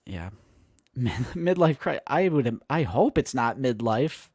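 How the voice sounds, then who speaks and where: A man in his late twenties, co-hosting a casual live stream with an animated, joking delivery. His voice sits in a middling register and sounds dry and close, with little room around it. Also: medium pitch, slow pace, slightly rough, no echo. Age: late 20s. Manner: animated and joking, acts out quotes. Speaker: co-host of a toy collecting live stream.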